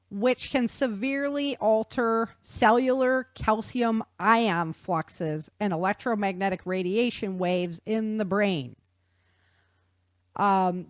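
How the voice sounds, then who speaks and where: A woman's voice: mid-range voice, dry recording, clean studio sound. A woman hosting a podcast, explaining things in an informal, folksy and enthusiastic way as casual chat. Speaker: podcast host.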